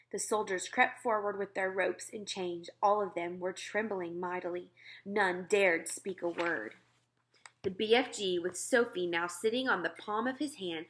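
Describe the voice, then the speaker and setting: A woman narrating a children's storybook aloud expressively, with dramatic, deliberate emphasis. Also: mid-range voice, a bit bright.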